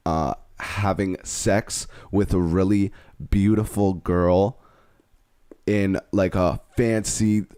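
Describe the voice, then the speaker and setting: Casting: young man in his twenties, lowish voice, dry close sound, loose rambling podcast talk. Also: fairly even intonation, takes it slowly, dark tone, smooth, no room sound. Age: 20s. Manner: rambling, frank, trails off mid-thought. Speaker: podcast co-host. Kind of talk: casual chat.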